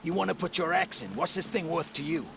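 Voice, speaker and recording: A man's voice: fairly high pitch, steady tone, fast. A man playing a pushy scavenger in a video game, haggling bluntly. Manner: blunt, bargaining. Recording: clear background noise.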